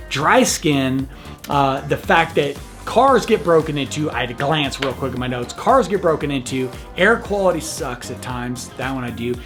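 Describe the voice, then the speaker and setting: Chatty man with a higher voice, soft music behind. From Colorado. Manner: chatty, frank and joking, with restarts. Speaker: real estate host of a city channel. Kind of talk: casual talking-to-camera video.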